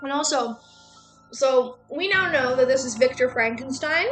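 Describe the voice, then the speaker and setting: A woman's voice: high-pitched, moderate pace, fairly bright tone, rough recording. A woman streamer talking casually and chattily straight to her viewers. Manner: chatty, addressing viewers directly. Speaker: streamer hosting a study-with-me chat stream. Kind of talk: casual livestream chat. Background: music.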